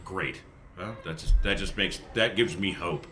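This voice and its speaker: A man's voice: deep voice, normal speed, pushed and bright. A man playing a tabletop game in casual, joking chat.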